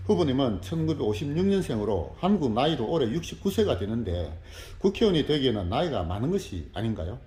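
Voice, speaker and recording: A man with a mellow, relaxed tone poses formal questions as an interviewer. His pitch moves in a lively way though his delivery stays measured. The room rings noticeably around his voice. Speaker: interviewer, civic group leader. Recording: echoey room, faint background noise.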